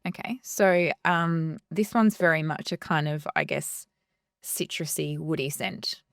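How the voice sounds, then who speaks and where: A woman, a perfumer, talking softly and hesitantly as a guest in a casual interview, with many fillers. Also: sits low, measured pace, dry recording, clean studio sound.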